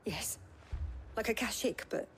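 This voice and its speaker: A woman's voice: measured pace, fairly bright tone, close to an everyday voice. A woman playing a worried, respectful serving woman in a game cutscene. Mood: shaken. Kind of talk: dramatic game cutscene acting. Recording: faint background ambience noise.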